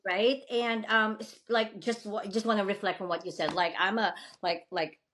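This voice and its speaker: A woman's voice: mid-range voice, steady tone, moderate pace, fairly bright tone, slight background noise. A woman from the Philippines with a Filipino accent, hosting a podcast interview in casual, enthusiastic chat with many fillers. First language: not English. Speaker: podcast host.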